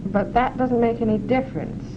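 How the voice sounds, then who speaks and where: Female test subject, simple and insistent, slow at times; muffled, hissy 1940s film audio. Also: on the high side, steady tone. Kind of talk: unscripted answers in an experiment.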